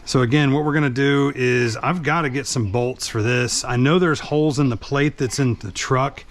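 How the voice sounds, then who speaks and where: A man's voice: medium pitch, moderate pace. A man giving an informal, hands-on how-to, talking through the task as he works. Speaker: vlogger, truck driver. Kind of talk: casual hands-on how-to narration. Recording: background noise while working.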